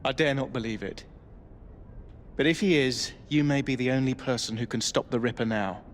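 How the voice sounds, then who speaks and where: An actor playing a weary male police inspector, who speaks in a measured, grave way. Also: mid-range voice, slight background noise, close to an everyday voice.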